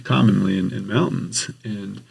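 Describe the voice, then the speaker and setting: A man with a husky, gravelly voice talks through his expedition experiences in an earnest, explanatory way. He speaks like a professor in a relaxed podcast interview, stopping now and then to rephrase. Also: fairly low pitch, moderate pace. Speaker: geography professor and expedition researcher.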